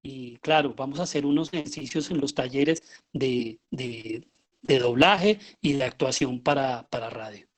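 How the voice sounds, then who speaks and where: A middle-aged man with a Colombian accent giving an informal lecture, often pausing and repeating himself as he searches for words. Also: medium pitch. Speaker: lecturer, radio drama director.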